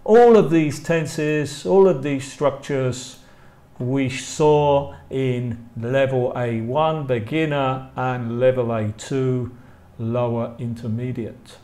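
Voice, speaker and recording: English guy teaching a language class, really slow and careful, says phrases over again. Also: mid-range voice, mellow. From England. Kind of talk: live online language lesson, reading model questions and answers.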